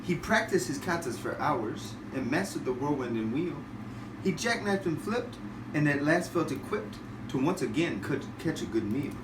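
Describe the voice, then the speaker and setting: A man, a father, reading a rhyming bedtime storybook aloud in an animated way. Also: on the high side, normal speed. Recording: roomy, distant, noisy home recording.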